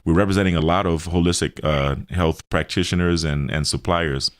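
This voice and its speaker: Very deep-voiced American man explaining, dry studio. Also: fairly even intonation, a little fast, clean tone, dry recording. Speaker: radio show host and health center owner. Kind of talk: explanatory radio health talk.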